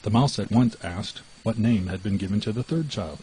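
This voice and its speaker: A man reading a fairy tale as an audiobook narrator, in an expressive storytelling style. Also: on the low side, normal speed, slight background noise.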